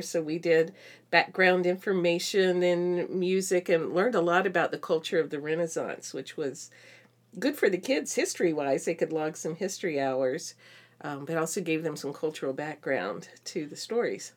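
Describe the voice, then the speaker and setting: A woman's voice: lowish voice, normal speed. A middle-aged woman chatting informally and agreeably as co-host of an educational podcast. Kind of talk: casual educational podcast chat.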